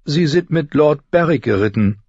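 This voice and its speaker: A man's voice: lively intonation, moderate pace, a little mellow, breathy edge, no echo, highs cut off. A man voicing a haughty young noble girl in a storybook, petulant and indignant. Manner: blasé, indignant, shrill when upset.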